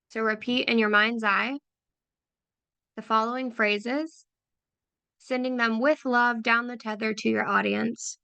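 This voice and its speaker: A woman with a slightly high, bright voice leads a meditation at a very slow, deliberate pace. Her tone is soft and affirming, with a gravelly edge of vocal fry, and the recording is dry and close. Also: dry recording. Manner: soft, unhurried, affirming, repeating phrases. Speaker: meditation guide for creative business owners. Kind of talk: slow guided visualization.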